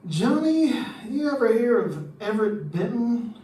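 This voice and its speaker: A man's voice: very high-pitched, very animated, measured pace, mellow, heavily acted. A man playing a worried, suspicious insurance man in an old-time radio drama, with hesitant phrasing. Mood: urgent, suspicious. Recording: roomy, distant mic, faint background noise.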